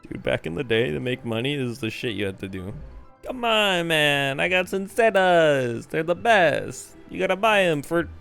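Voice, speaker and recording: A man's voice: a little high, big pitch swings, normal speed, creaky, a bit bright. A man giving casual live gameplay commentary, slangy, sweary and rambling. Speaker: game streamer. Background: game music.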